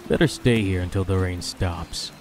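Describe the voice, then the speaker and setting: An actor playing a gentle, reassuring young heir to a ranch in a romantic audio drama, speaking softly with a Filipino accent. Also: male voice, deep voice, unhurried, background noise. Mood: tender. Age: young adult. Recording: music bed behind voice.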